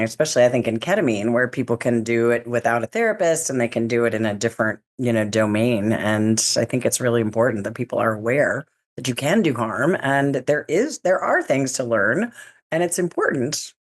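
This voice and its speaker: Deep-voiced woman host, conversational and curious. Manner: chatty, curious, many fillers like "you know". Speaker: interview host. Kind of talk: casual interview questions.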